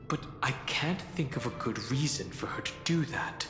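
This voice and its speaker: A woman playing a calm, analytical companion in a game, talking in a thoughtful, deliberate way. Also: very deep voice. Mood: suspicious, musing. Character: calm, pensive, analytical companion reasoning things out. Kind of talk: scripted game dialogue. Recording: echoey hall, loud music behind.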